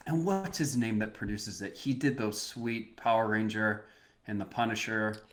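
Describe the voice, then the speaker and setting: A man's voice: lowish voice, normal speed. Casual, opinionated man chatting. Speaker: podcast co-host. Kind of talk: casual chat about movies.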